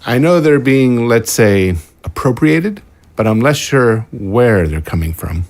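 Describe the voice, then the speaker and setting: An actor playing a dry, guarded scientist in an audio drama, a man with a deliberate, formal way of talking. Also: medium pitch, lively intonation, darkish tone. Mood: guarded, uneasy.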